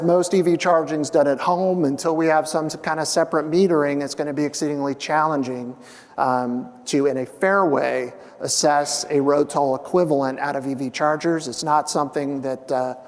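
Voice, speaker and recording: A man speaking as a transportation official on a conference panel, measured and halting, with many fillers. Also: on the high side. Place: conference hall. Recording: long hall reverb.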